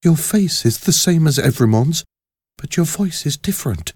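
A man voicing a timid young woman prisoner in a storybook, soft, earnest and pleading. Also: sits high, unhurried, no room sound, studio quality, pitched above the performer's own voice. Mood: surprised, searching.

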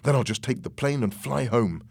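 A man's voice: medium pitch, lively intonation, moderate pace, creaky, darkish tone, husky, dry recording, clean studio sound. A man acting a reluctant pilot in a story reading, with a plain, grudging manner. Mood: resentful. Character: reluctant, unwilling male pilot caught between two gunmen.

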